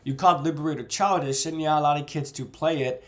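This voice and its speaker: A man's voice: on the high side, fast talker, lightly acted. A man with a cold reading aloud the earnest, rallying lines of an idealistic young male card player. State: congested from a cold.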